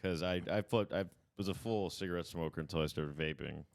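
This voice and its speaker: A man's voice: low-pitched, brisk, gravelly. A man co-hosting a comedy podcast in a studio, joking and laughing with frequent restarts. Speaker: podcast co-host. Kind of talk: casual comedy chat.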